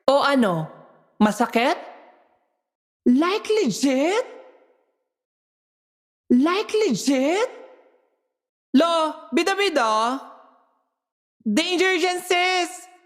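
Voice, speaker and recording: A woman's voice: sits high, sing-song, extremely slow, long pauses. A woman with a Filipino accent delivering short, exclamatory, teasing catchphrases in the style of game voice-pack callouts. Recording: long echoing hall-like reverb.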